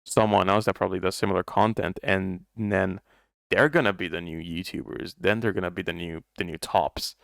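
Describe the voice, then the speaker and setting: A man's voice: deep voice, steady tone, normal speed, gravelly, fairly bright tone, no room sound, studio quality. A young man co-hosting a casual podcast, speaking English as a second language, thoughtful and rambling with many restarts. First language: not English. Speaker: podcast co-host. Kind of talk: casual chat.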